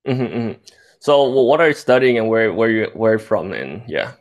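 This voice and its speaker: Young male podcast host, halting delivery. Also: lowish voice. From Taiwan. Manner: halting, with many uh fillers. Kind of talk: podcast hosting.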